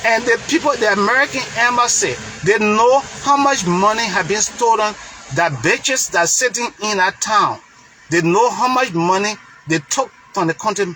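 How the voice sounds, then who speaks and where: A man's voice: high voice, moderate pace, pushed and bright, a little hiss or hum, rough recording. A man from Liberia with a Liberian accent, giving an impassioned political monologue in an emphatic, preacher-like way, repeating phrases for stress. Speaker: political commentator.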